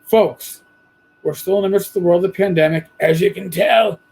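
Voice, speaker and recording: A man hosting a podcast, talking bluntly and casually with a hoarse voice after losing it. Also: high voice, normal speed, a little mellow. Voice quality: husky. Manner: blunt, profane, rambling. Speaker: podcast host. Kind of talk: casual monologue.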